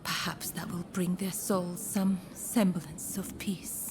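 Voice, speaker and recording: An actress playing a gentle, courteous woman of a Japanese pleasure district in a video game, speaking softly and formally in measured, breathy phrases. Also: lowish voice, little pitch movement, slight background noise. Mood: somber, earnest. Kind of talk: scripted dramatic game dialogue. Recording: music behind the voice.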